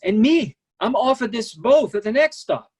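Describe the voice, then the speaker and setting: An actor in a staged play reading, playing a resigned, candid immigrant massage therapist who speaks in clipped, non-native phrasing, matter-of-factly. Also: male voice, extremely high pitch, sing-song, moderate pace, a little mellow, slightly rough, full character voice. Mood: bitter, resigned.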